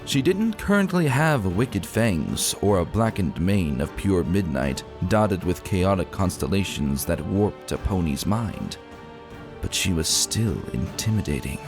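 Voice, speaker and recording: A man's voice: deep voice, big pitch swings, a little slow. A man reading a fantasy story aloud in a solemn, theatrical manner. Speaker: audiobook narrator. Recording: music behind the voice. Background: music.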